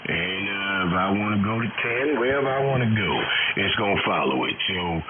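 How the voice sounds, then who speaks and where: A man calling in over amateur radio, chatting casually in a folksy, halting way and repeating phrases. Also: low voice, expressive. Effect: radio transmission. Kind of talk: casual ham radio chat. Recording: very noisy narrowband ham radio signal.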